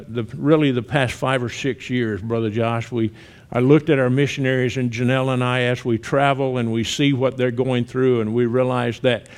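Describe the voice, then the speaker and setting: A man in his sixties with a Southern US accent, talking plainly and conversationally as a missionary guest speaker at a church, with some gentle humor. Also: mid-range voice. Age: 60s. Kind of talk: church testimony talk.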